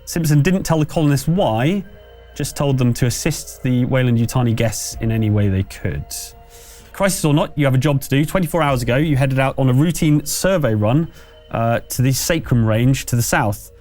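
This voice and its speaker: A man's voice: medium pitch, expressive, moderate pace, darkish tone. Male game master over music. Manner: conversational scene-setting storyteller. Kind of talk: narrating a tabletop roleplaying game.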